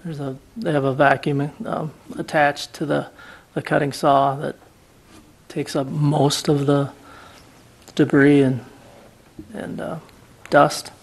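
A man, the public works director, giving a steady report with many ums at a council meeting in a city council chamber. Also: fairly high pitch, takes it slowly, gravelly, pauses often.

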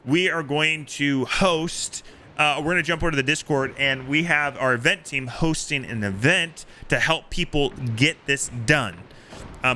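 A man's voice: a little high, sharp tone, slight background noise. A man in his thirties chatting casually on a game live stream in a playful, theatrical storytelling way, close on the mic in a small home room. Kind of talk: casual live-stream chat while gaming. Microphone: close streaming microphone.

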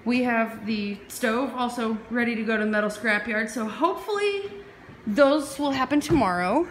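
Chatty, excitable woman filming a house tour. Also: fairly high pitch, moderate pace, a little hiss or hum, rough recording. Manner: chatty, excitable, jumps between topics. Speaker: vlogger renovating a house. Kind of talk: casual walkthrough vlog. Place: empty house and yard, indoors and outdoors. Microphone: phone held while filming.

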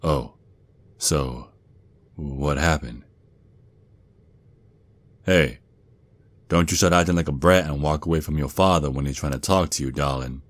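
A man playing a protective werewolf father and gruff sergeant with a Southern US accent, in an ASMR roleplay with a folksy drawl. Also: very deep voice. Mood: alarmed, indignant. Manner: doting, folksy, slow drawl with pet names.

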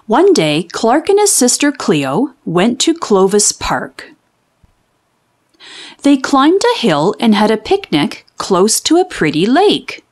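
A woman reading a children's storybook aloud, with expressive, deliberate enunciation for young children. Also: a little high. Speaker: children's read-aloud host.

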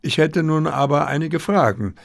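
A narrator playing an inquisitive male master detective in an audiobook. He is brisk and sure of himself, pitched above the narration, in a dry, close recording. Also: vocal fry, no room sound. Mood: probing, keen. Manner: brisk, probing, self-assured. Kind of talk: audiobook character dialogue.

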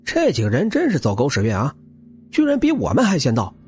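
A man's voice: a little high, wildly swooping pitch, brisk, darkish tone, a little hiss or hum. A man voicing a dismissive, grumbling gang underling in an audiobook, speaking offhandedly. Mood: offhand grumbling.

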